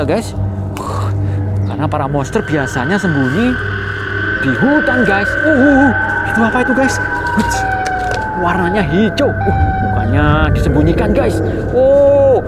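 A man with an Indonesian accent narrating a playful toy video for children, excited and theatrically amazed, with exclamations throughout. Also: a little high, very noisy. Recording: music laid loudly over the voice. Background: loud music.

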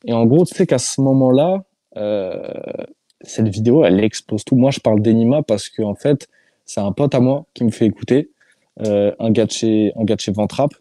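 A man from France answers casual interview questions over a video call. His voice is mellow and dry, and he hesitates often, restarting sentences in loose slang. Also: mid-range voice, normal speed, dry recording. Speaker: YouTuber guest.

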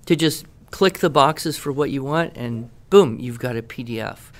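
A man's voice: medium pitch, normal speed. Enthusiastic middle-aged American man, emphatic talker. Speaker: podcast guest expert and writing teacher. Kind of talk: conversational product talk on a podcast.

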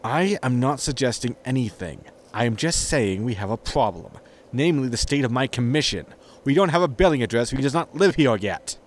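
A man's voice: mid-range voice, expressive, fairly quick, natural voice. Grumpy spaceship captain played by a man, noisy background. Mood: brusque. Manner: impatient, sardonic. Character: grumpy, money-minded male spaceship captain. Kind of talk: sci-fi comedy audio drama acting.

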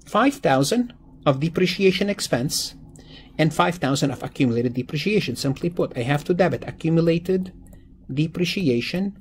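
Male lecturer, raspy and a little high in pitch. Explanatory walkthrough delivery, repeats key phrases, self-answered questions. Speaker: accounting lecturer. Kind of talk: step-by-step exam problem walkthrough, explanatory teaching. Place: indoors, voice-over on a screen-recorded slide lesson.

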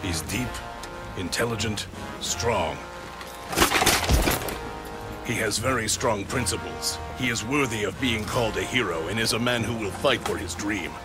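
An actor playing a stern, loyal, honorable warrior general in a video game, speaking in a formal, measured, solemn manner. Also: male voice, lowish voice, over loud music, lightly acted. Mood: grave, earnest. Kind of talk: video game cutscene acting.

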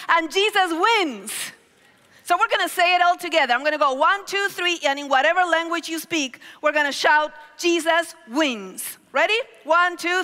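A middle-aged woman preaches on a big hall stage through a headset mic. Her voice is high and bright, her storytelling animated. The long reverb of the hall trails each phrase. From Argentina. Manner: animated storytelling with gestures, calls on the crowd. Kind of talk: sermon. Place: large hall stage with audience.

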